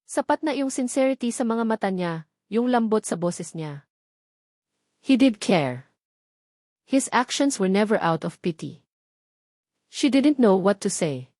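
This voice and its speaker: A woman's voice: mid-range voice, very animated, a little slow, pauses often, a little mellow, dry recording, studio quality. A woman with a Filipino accent giving a dramatic scripted narration of a romance audiobook.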